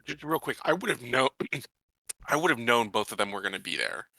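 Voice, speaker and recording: Very bright-voiced man at a game. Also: mid-range voice, lively intonation, moderate pace, gravelly, no room sound. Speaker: player. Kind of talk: casual tabletop roleplay chat.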